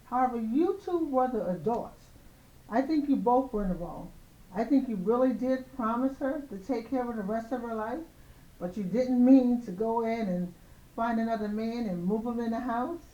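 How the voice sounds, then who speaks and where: Woman, higher-pitched, slightly hoarse, emphatic admonishing commentary host, casual, dull lo-fi recording. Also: normal speed, warm tone. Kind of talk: casual opinion commentary on celebrity news. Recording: roomy, echoing, dull lo-fi sound.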